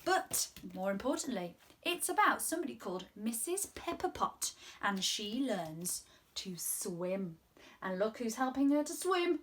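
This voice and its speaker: High-pitched young British woman, children's storyteller. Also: a little slow. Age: 20s. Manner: animated, sing-song, exaggerated expression for young children. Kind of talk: children's story time, song and read-aloud. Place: small room at home, indoors.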